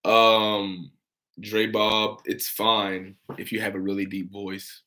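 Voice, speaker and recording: A young man hosts a relaxed livestream from home. He reads out viewer comments and answers each one in an upbeat, encouraging way. His voice sits slightly low. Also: slow pace. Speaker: insurance broker and livestream host.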